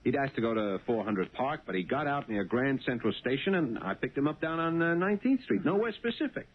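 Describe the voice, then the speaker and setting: An actor playing an eager, excitable working-class taxi driver in a 1970s radio drama, folksy and exclamatory. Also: male voice, mid-range voice, a little fast, natural voice. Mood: excited, defensive. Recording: tinny, noisy old radio broadcast.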